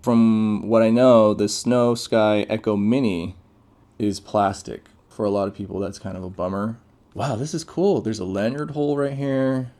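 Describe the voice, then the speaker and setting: A man's voice: on the low side, fairly even intonation, normal speed, warm tone. A man giving casual, off-the-cuff unboxing commentary as a tech reviewer, laid-back and informal with plenty of slang.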